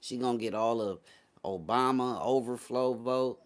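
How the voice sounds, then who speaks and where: A man's voice: medium pitch, a little slow. Blunt, slangy American man chatting casually. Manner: blunt, opinionated, profane, slangy. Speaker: morning show host. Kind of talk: casual chat.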